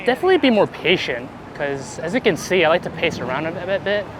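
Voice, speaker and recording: A man with a slightly high-pitched voice talks off the cuff in a casual outdoor interview. He is animated and informal, easy to amuse and loose with his language. Clear street noise and traffic sit behind him. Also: fast. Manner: animated, informal, laughs easily, some swearing. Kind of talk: casual chat while walking outdoors. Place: outdoors on busy city streets.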